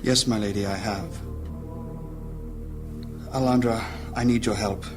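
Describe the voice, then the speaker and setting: An actor playing a grave, worried grey mage who leads a guild in a fantasy audio drama, formal and measured in speech. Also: male voice, on the low side, fairly even intonation, close to an everyday voice. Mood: solemn. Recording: music behind the voice.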